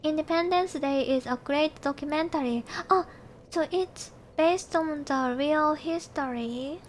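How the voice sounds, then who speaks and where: Female game streamer, Japanese accent, very high voice, slow polite casual chat with viewers. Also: a little hiss or hum. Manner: polite, thanks and greets viewers often. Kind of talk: casual livestream chat while gaming.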